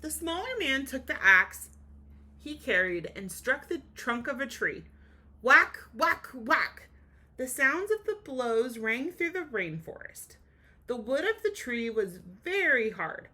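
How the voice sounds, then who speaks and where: Expressive woman teacher reading aloud to children. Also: high voice, slow. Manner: enthusiastic, addresses children directly with questions. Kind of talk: expressive storybook read-aloud with teacherly asides.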